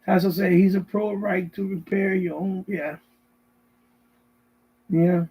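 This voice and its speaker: Middle-aged male streamer, high raspy voice, casual rambling chat with viewers, loops back over his words. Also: flat delivery, takes it slowly, mellow, rough recording. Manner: rambling, repetitive, answering viewers' messages. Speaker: live stream host. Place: basement at home.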